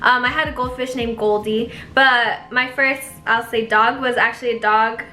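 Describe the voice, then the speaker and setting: Young female YouTuber, bright tone, casual filler-heavy chat, echoey room with faint music. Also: a little high, fairly even intonation, normal speed. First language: Spanish. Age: young adult. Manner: chatty, filler-heavy, giggly asides.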